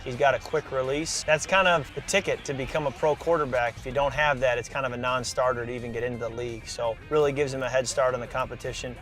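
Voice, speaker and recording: Male host, mid pitch, earnest encouraging mentor, faint music bed. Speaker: host, pro quarterback mentoring draft prospects. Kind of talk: casual sit-down interview and voice-over narration.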